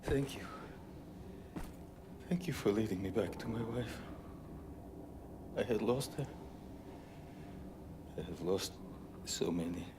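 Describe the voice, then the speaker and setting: A man's voice: on the low side, expressive, takes it slowly, long pauses. A man playing a solemn, grateful, grieving husband in a video game cutscene. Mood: sorrowful. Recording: noisy background ambience.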